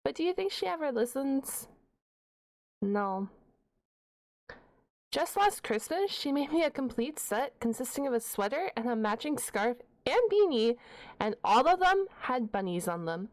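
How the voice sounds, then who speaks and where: A woman playing a shy, nerdy young half-dragon librarian in an ASMR roleplay, speaking straight to the listener in a flustered, halting, playfully huffy way. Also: on the high side. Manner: flustered, halting, self-correcting, playfully indignant. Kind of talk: ASMR roleplay, one-sided dialogue to the listener.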